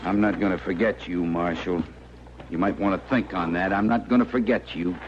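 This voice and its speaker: An actor playing a sardonic, complaining captive outlaw with an American accent and a menacing edge in a radio western. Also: male voice, low voice, fairly even intonation, moderate pace, natural voice. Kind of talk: old-time radio western acting. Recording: muffled, noisy old radio recording.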